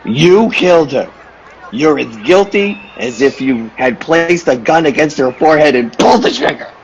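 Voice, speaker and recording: A man plays an anguished little circus man in a radio play. His voice is highish and creaky with big swings of pitch, insistent and melodramatic. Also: animated, moderate pace, muffled recording, a little hiss or hum. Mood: accusing.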